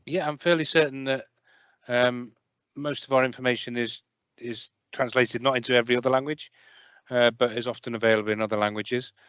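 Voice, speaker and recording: A health service manager answering questions in an online meeting, talking hesitantly with many fillers and restarts. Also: male voice, medium pitch, fairly even intonation, fairly quick, fairly bright tone, clean tone, dry recording. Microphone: video call. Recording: muffled, dry video call audio.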